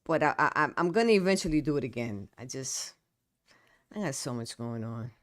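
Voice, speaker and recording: A woman's voice: very deep voice, animated, fairly quick, dry recording, studio quality. A middle-aged woman with a New York accent, a guest poet and author chatting casually, repeating words and trailing off. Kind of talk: casual conversation.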